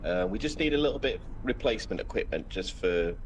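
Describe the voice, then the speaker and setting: Welsh man commentating over clear background noise. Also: fairly low pitch, lively intonation, quick pace. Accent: Welsh with northern English influence. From Wales. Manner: chatty, friendly greetings to viewers. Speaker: live stream commentator and host. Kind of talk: casual live aviation commentary.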